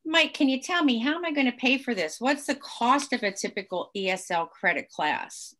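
A woman moderates an online panel over a video call. She sounds upbeat and enthusiastic, with a slightly high, bright voice. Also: lively intonation, normal speed.

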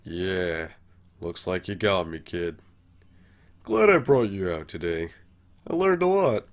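Man voicing a slow-talking, laid-back skeleton joker. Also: low voice, animated, full character voice. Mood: bitter, wry. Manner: casual, drawling, wry. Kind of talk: animated comic dub.

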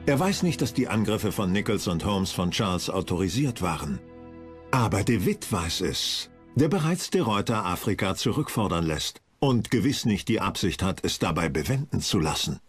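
Guy narrating a history doc, fairly low voice, animated read, bit of music behind. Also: normal speed. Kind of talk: scripted documentary narration.

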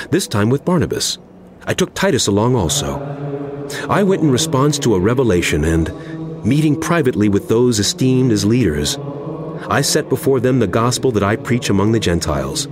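An actor playing an impassioned apostle in a dramatized audio Bible, speaking in a solemn, emphatic, oratorical way. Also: male voice, medium pitch, sing-song, brisk, background noise, close to an everyday voice. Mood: earnest recounting. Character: impassioned apostle writing a stern, earnest letter. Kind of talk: dramatized scripture reading over music. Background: background music.

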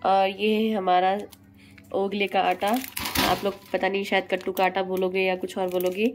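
A woman in her thirties vlogging casually to her phone camera at home, chatty and addressing viewers as friends, with a hoarse throat from a cold. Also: medium pitch, monotone, moderate pace, background noise. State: hoarse throat, feeling unwell. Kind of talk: casual vlog narration to camera. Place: home kitchen and living room, indoors. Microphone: phone at arm's length.

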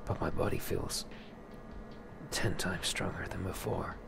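A man improvising an in-character roleplay over a video game, muttering in a hesitant, halting way. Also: low-pitched, fairly even intonation, gravelly, noisy background. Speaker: let's play roleplayer. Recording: loud game music behind. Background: game music.

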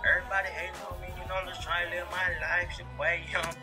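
A man putting on a squeaky, hyped young guy who rattles off a boastful rant at top speed over loud music playing behind him. The voice sits way up high, a comic dub character. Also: little pitch movement, racing, close to an everyday voice.

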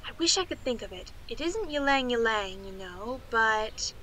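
A woman's voice: fairly high pitch, expressive, moderate pace, pushed and bright, slight background noise, low-quality recording. A woman playing a chatty, naive young niece in a radio play, gushing and rambling in a girlish way. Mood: eager, gushing. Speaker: actress.

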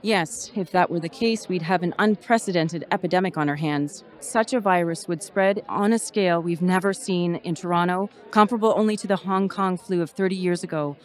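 An actress playing a weary but dutiful emergency management officer giving a formal radio interview. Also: female voice, fairly low pitch, a little fast, hardly any pauses, close to an everyday voice. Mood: measured, official.

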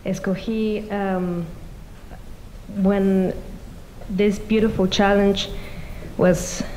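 Singer-songwriter, woman, native Spanish speaker, hesitant stage patter, medium pitch, slight room noise. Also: level tone, darkish tone, rough recording. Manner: hesitant, halting. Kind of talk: informal stage talk before a performance. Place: large meeting room with seated audience. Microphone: stage microphone on a stand.

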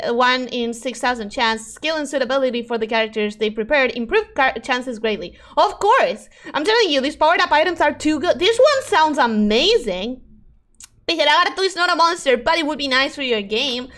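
Girl streamer, native spanish speaker, super high swoopy voice, chatting casually while gaming. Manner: animated, expressive, exclaiming. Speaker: game streamer. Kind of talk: casual livestream chat over gameplay.

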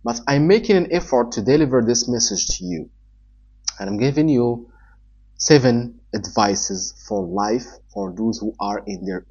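A man in his forties from Morocco, a native Arabic speaker with a Moroccan accent, giving earnest advice in halting English to a camera in a small room. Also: medium pitch, warm tone, husky. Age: 40s. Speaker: online entrepreneur giving advice. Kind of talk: talking-head advice vlog. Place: small indoor room with a wall-mounted TV. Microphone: camera in front at desk distance. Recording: somewhat dull, lo-fi sound.

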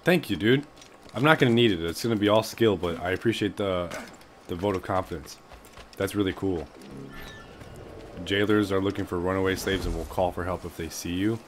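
A young man with a deep voice giving casual, excitable gameplay commentary from his bedroom, swearing a lot and calling everyone dude. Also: a little fast, a little hiss or hum. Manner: excitable, swears constantly, says "dude" and "bruh". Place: small bedroom.

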